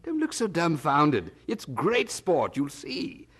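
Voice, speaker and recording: Period audio drama: hearty, self-satisfied older brother, husky, very animated delivery. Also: male voice, fairly high pitch, slow, full character voice. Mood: gleeful, condescending. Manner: chuckling, hearty, condescending, stammers when evasive. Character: jovial, blustering older brother, 18th-century English townsman.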